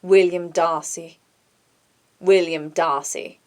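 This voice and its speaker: A woman plays a rich, uptight British gentleman for an audiobook. He speaks low and slowly, proud, nasal and resonant. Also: fairly even intonation. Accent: posh British.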